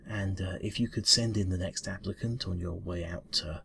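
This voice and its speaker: A man's voice: low voice, fairly even intonation, moderate pace, gravelly, a bit bright, slight background noise. A man voicing a polite, fussy, slightly ruffled bureaucrat cat in an ASMR interview roleplay, mumbling hesitantly and formally. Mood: polite, flustered.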